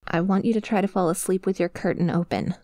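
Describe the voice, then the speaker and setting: A woman playing a possessive but vulnerable female sleep paralysis demon in an ASMR roleplay, speaking softly and hesitantly, close to the mic. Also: lowish voice, steady tone, no echo, natural voice. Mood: shy, hopeful. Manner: hesitant, halting, tentative, confiding. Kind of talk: ASMR roleplay, intimate monologue addressed to a sleeper. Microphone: close microphone, dry.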